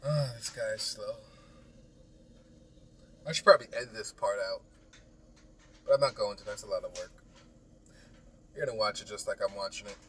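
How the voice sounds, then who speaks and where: A lowish male voice, a plumber narrating his own repair footage in a loose, joking way. He rambles, teases the viewer and wanders off topic. The voice sits a little away from the mic, with a faint hiss of noise behind it. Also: fairly even intonation, moderate pace, long pauses, a bit bright, far from the mic, poor audio. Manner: rambling, teasing, talks to the viewer. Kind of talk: joking DIY voice-over. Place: small bathroom.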